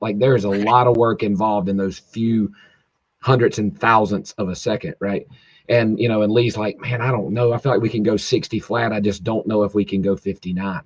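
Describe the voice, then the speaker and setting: A hoarse man talks shop about racing in a loose, rambling way, his sentences restarting as he goes. His voice sits low and the call audio is dull and lo-fi, with a dry sound and no room around it. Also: a little mellow, no echo. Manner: rambling, with many fillers and restarts. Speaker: drag racer guest. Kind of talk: casual podcast chat. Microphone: video call, home internet.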